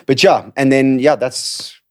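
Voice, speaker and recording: A young adult man, originally from Portugal, chatting as a podcast guest in a relaxed, easily laughing way. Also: medium pitch, unhurried, dry recording, studio quality. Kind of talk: casual chat. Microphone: studio mic.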